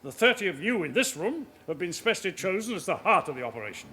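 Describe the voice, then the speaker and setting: An actor playing a senior police officer delivering a formal, clipped, authoritative briefing in a radio drama. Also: male voice, high-pitched, very animated, fast, sharp tone, a little hiss or hum, full character voice. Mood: grave, authoritative. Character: commanding male senior police officer briefing his men.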